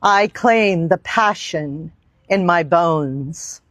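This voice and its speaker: Woman yoga teacher, unhurried and reverent, dry close sound, guiding breath and meditation. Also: fairly low pitch, fairly bright tone, dry recording. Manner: slow, deliberate, reverent phrasing with long pauses. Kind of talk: guided meditation and yoga instruction. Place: outdoor park.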